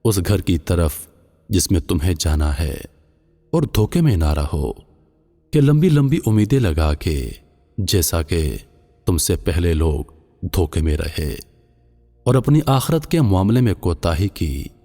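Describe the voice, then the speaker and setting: Man voicing a solemn, very deep dying father, cavernous reverb. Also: very animated, takes it slowly, warm tone, exaggerated voice. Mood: grave, urgent warning. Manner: grave, admonishing. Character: solemn dying father counselling his son, later a dream spirit. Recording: long cavernous reverb, music underneath.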